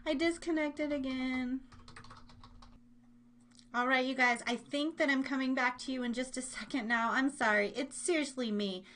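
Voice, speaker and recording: American woman, higher-pitched voice, casual live radio host, rambling, bubbly, informal. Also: steady tone, moderate pace, a bit bright, slight background noise. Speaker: host of a live online radio show and podcast. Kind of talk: casual, chatty live hosting with listeners.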